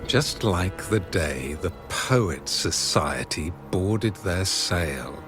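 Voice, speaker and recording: Scornful game villain acolyte, slow and pompous, swinging pitch, loud music behind. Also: male voice, expressive, heavily acted. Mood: mocking. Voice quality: theatrical, sneering delivery with derisive laughter. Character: contemptuous zealot male acolyte of a religious order, mocking. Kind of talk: dramatic video game voice acting.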